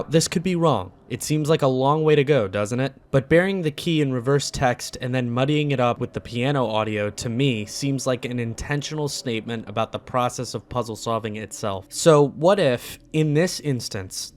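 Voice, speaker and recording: Male video essayist, mid-pitched, deliberate and earnest, scripted analysis read with emphatic phrasing.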